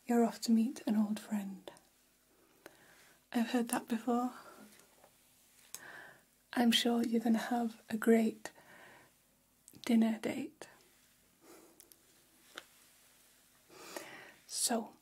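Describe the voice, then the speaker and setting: Casting: gossipy vampire salon stylist woman acting, ASMR roleplay, very breathy whisper, slow and gentle. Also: fairly high pitch, full character voice. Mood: soft, attentive. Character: gossipy female vampire hairstylist, attentive and chatty. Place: small decorated room, indoors.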